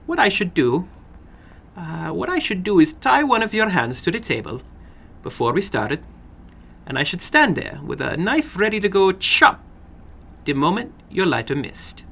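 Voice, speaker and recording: Man voicing an eager, high-pitched little foreign gambler. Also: sing-song, creaky, fairly bright tone, exaggerated voice. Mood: explaining, insistent. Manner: halting broken English with searching pauses. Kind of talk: dramatic horror story reading.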